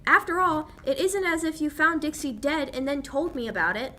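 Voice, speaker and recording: A young woman acting an anxious, sharp-tongued fiancée in a 1940s-style radio drama. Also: sits high, normal speed. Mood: indignant, anxious. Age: young adult. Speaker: high school actor. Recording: background noise.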